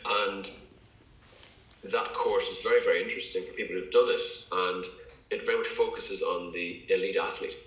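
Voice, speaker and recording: A man's voice: low-pitched, fairly even intonation, normal speed, a bit bright. A male doctor from England, a podcast guest, talking in a hesitant way with many fillers and restarts. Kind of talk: casual interview talk. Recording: echoey, dull lo-fi sound.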